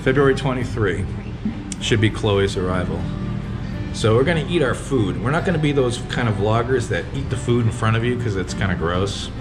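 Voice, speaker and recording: A man's voice: mid-range voice, expressive, moderate pace. A man talking casually and off the cuff as he hosts a vlog in a restaurant. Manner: conversational, off-the-cuff. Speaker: vlogger, host. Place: small restaurant, indoors. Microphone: handheld camera, behind the lens. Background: loud background music.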